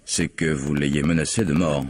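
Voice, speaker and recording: Man acting a very deep, husky police investigator. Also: level tone, moderate pace, no room sound. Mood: accusing. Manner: probing, methodical, formally polite. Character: insistent, skeptical male police investigator questioning a suspect. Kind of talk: French radio mystery drama acting.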